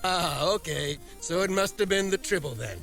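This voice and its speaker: A man's voice: sits high, expressive, moderate pace, vocal fry, a bit bright, soft background music, heavily acted. A man voicing an easygoing commanding officer of a starship in scripted video game dialogue. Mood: amused, realizing.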